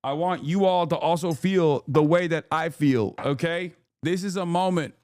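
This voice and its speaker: A man's voice: on the high side, normal speed, a little mellow, no echo, studio quality. A man in his thirties with a Texan accent, hosting a live stream with hyped, profane, rambling commentary. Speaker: streamer host.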